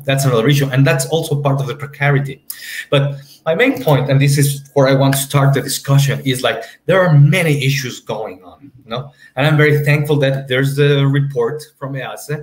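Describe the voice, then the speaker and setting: Man in his 30s, English, rambling with fillers, mid pitch, echoey home room on a webcam. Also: a little hiss or hum. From Mexico. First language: Spanish. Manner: meandering, filler-heavy, leans on "you know". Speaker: panel moderator. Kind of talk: academic panel discussion. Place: home room on a video call. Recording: roomy echoey video call audio.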